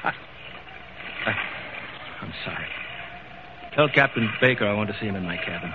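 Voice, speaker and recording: A man's voice: lowish voice, animated, moderate pace, lightly acted. An actor playing a proud ship owner who is losing his sight and hides it, hesitant and stammering, in old-time radio drama style. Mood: bitter, subdued. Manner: hesitant, stammering, self-deprecating. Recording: tinny, noisy old radio broadcast.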